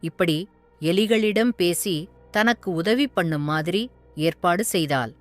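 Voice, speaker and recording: A woman narrating a children's moral story in a calm, measured storybook style. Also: lowish voice, no room sound, soft background music.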